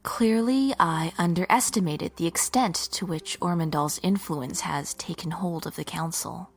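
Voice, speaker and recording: A woman playing a devout, determined rebel leader in a fantasy audio drama, soft and breathy, gentle with friends. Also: lowish voice, unhurried, faint music behind, heavily acted. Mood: grave, frustrated.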